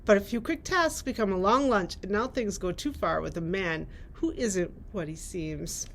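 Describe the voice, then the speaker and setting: Female librarian, medium pitch, introducing books and reading their summaries in a conversational setting. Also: animated, normal speed. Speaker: librarian presenting book picks. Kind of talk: reading book summaries with casual chat.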